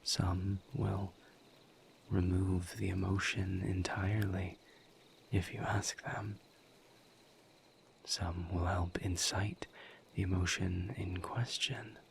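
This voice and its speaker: A man playing a calm, gentle, formal misery demon in an intimate roleplay audio, with a hushed, breathy voice and measured, deliberate phrasing. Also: low-pitched, background noise, full character voice. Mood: calm, explanatory. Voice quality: hushed, breathy near-whisper. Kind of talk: soft-spoken roleplay audio, intimate monologue to listener.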